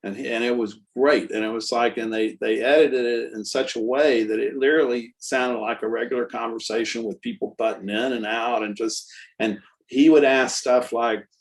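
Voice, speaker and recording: Sixties man, loose easygoing storyteller, mid-pitched voice, talks around his point with fillers. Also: normal speed. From Texas. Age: 60s. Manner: rambling, relaxed storyteller with many fillers. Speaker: guest artist and musician. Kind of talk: casual conversational interview.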